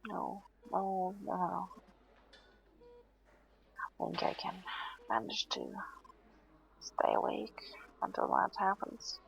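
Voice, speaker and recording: A woman playing a tired, stubborn but playful patient in an intimate audio roleplay, murmuring sleepily and haltingly, often close to a whisper. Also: medium pitch, muffled recording. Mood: drowsy grumbling. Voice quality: breathy, often dropping to a whisper.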